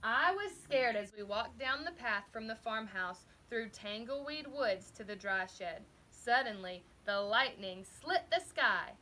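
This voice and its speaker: A woman reading a children's story aloud expressively, with deliberate, dramatic emphasis. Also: fairly high pitch, expressive, pushed and bright. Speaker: storybook reader.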